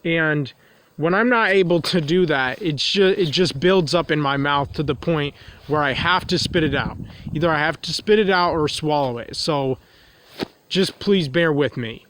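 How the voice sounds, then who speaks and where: A man with a nasal, stuffed-up voice, pitched a touch higher than most men, rambles through a confessional vlog monologue. He repeats himself often and restates the same point several ways. He is recording outdoors in the cold. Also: normal speed, fairly bright tone, slight background noise. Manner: repetitive, circling back to restate points. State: congested from a runny nose. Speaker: vlogger.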